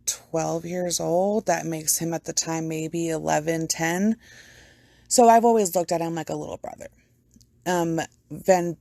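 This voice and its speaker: A woman's voice: fairly low pitch, a little mellow, dry recording, clean studio sound. A young woman hosting her own podcast, talking earnestly and a little ramblingly, with casual swearing. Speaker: podcast host. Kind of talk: casual personal talk to camera.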